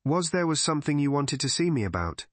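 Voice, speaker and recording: A man's voice: fairly high pitch, sing-song, quick pace, dry recording, voice pushed up. A man voicing a polite, earnest village vicar in an audiobook.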